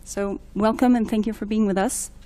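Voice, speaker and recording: A woman moderating a panel discussion, introducing speakers with frequent ums and pauses. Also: mid-range voice, steady tone, mellow. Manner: hesitant, many ums and restarts.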